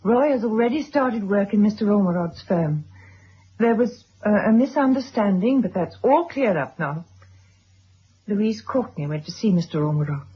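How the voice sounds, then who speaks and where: Radio drama wife: middle-aged gentlewoman, composed but firm, distinctly husky voice, dulled old-recording sound. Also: mid-range voice, lively intonation, normal speed, lo-fi sound. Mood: quietly firm. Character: composed, well-bred titled wife and mother, quietly firm.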